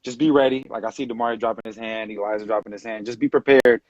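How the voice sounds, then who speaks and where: A man in his late twenties from California, talking casually as an interview guest on a video call, relaxed and rambling with many fillers. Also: medium pitch, fast talker, no room sound. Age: late 20s. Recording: dull dry video-call audio.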